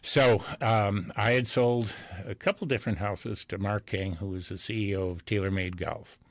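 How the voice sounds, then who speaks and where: A man's voice: lowish voice, fairly even intonation, normal speed, no echo. An older man speaking as an interview guest, telling anecdotes in a folksy, self-deprecating way. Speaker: interview guest, real estate broker.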